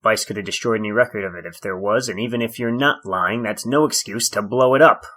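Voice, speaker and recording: A man's voice: fairly low pitch, fairly quick, a bit bright. A man playing a dry, sardonic detective interrogating a suspect in a comedic noir audio drama. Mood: exasperated. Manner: sardonic, skeptical. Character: dry, exasperated male police detective interrogating a criminal. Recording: music bed under the voice.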